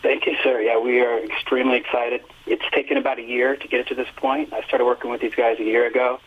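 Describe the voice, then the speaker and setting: A man's voice: medium pitch, level tone, fast talker. A fifty-year-old man, a singer and music teacher, telling long earnest stories with many fillers in a phone interview. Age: 50s. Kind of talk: relaxed phone interview storytelling. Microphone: telephone. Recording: tinny phone line.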